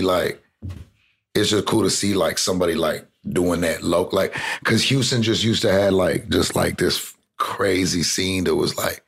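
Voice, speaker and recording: Young South Texas guy, low husky rasp, rambling casual interview talk full of fillers. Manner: rambling, heavy "like" fillers, swearing. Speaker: interview guest, rapper.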